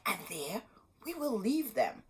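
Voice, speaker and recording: A woman's voice: mid-range voice, sing-song, takes it slowly, slightly rough, exaggerated voice. A woman voicing a cold, bossy stepmother in a children's storybook, with an insistent, scolding manner. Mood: scheming.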